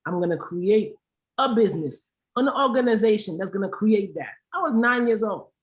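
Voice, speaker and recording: Woman from Haiti, founder on a discussion panel, emphatic and impassioned, mid-pitched voice. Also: expressive, moderate pace. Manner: emphatic, repeats phrases for stress. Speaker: aid organization founder, panel guest.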